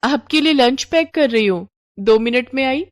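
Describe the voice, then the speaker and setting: A woman voicing a gentle, respectful young daughter-in-law in an animated moral story. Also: sits high, normal speed, no room sound, studio quality, voice pushed up. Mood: hurried. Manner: respectful, eager to serve.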